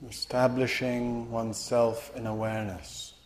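A man leading a guided meditation as a teacher, giving measured instructions in short phrases with pauses between them. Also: medium pitch, flat delivery. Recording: clear background noise, room ambience.

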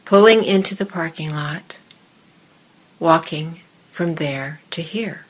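A woman meditation teacher speaking to a group in measured, deliberate short phrases with pauses, her voice husky and breathy. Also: deep voice, slight background noise, low-quality recording. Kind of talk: guided meditation and dharma talk to a group in the room.

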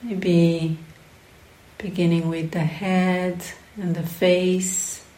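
A woman meditation teacher speaks in a slightly low, mellow voice with very little rise and fall. She offers guidance in short phrases, leaving long pauses between them. The sound is roomy and echoing. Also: level tone. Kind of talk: guided meditation.

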